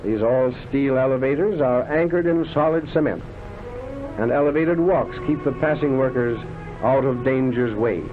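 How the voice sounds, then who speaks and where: A man's voice: medium pitch, normal speed. A man delivering scripted 1930s newsreel-style documentary narration in a declamatory, florid showman's manner. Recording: tinny band-limited vintage film soundtrack, loud music. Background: loud background music.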